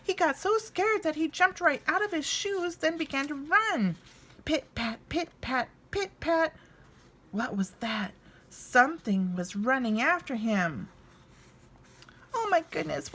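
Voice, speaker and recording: A woman reads a children's story aloud. Her delivery is highly animated, with lively swings in pitch and dramatic pauses for emphasis. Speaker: storybook reader. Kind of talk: expressive children's storybook narration.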